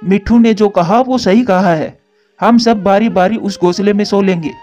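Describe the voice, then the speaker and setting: A man's voice: high voice, moderate pace, a little mellow, close to an everyday voice. A man voicing a caring, responsible male pigeon in a children's moral story cartoon. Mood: reassuring. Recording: dull lo-fi track with music.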